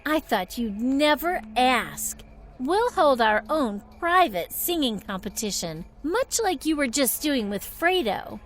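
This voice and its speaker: A haughty skeleton villainess from a cartoon, acted by a woman, sneers down at everyone with theatrical grandeur. The voice is high and sweeping, drawn out slowly. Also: big pitch swings, fairly bright tone, faint music behind, heavily acted. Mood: sly challenging. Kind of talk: animated film voice acting.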